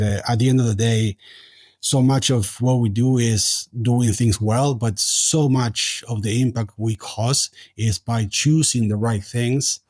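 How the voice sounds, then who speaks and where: A man's voice: medium pitch, a little mellow, no room sound. A man in his forties from Argentina, answering interview questions in a hesitant way with many fillers and restarts. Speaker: podcast guest, company founder.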